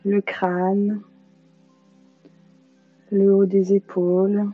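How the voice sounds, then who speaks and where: Woman, yoga nidra instructor, very unhurried, calm and soothing, long pauses, slightly dull recording, music behind. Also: medium pitch. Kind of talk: guided meditation.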